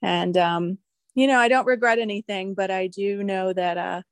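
A woman's voice: medium pitch, fairly even intonation, normal speed, no room sound, studio quality. A woman on a group call, thoughtfully sharing a personal reflection. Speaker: call participant.

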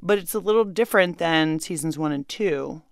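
Clear-voiced woman interviewer, slightly low. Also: normal speed, no room sound, clean studio sound. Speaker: interviewer, podcast host. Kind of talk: casual interview chat.

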